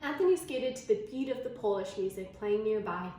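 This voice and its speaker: A woman reading a children's story aloud in an expressive storytelling manner. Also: mid-range voice, fairly quick. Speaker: storybook reader. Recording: very echoey room, faint noise.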